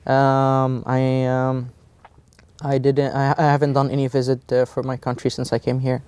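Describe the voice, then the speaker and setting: Halting young man from Egypt. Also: medium pitch, monotone, a little mellow, clean tone, no room sound. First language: Egyptian Arabic. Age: young adult. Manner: halting, frequent fillers and restarts, blunt. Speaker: student guest. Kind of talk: casual interview answers. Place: small TV studio set.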